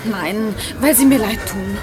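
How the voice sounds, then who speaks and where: An actress in a German radio play playing a young, polite, soft-hearted department store salesgirl with an emotive manner. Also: medium pitch, unhurried, natural voice. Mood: hesitant, pitying. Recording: loud music and crowd behind. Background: music and crowd noise.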